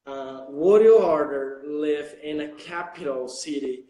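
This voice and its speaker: Slow, halting man. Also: fairly high pitch, mellow, echoey room, poor audio. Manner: slow, halting, searching for words. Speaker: English teacher. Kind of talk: casual conversation class on a livestream.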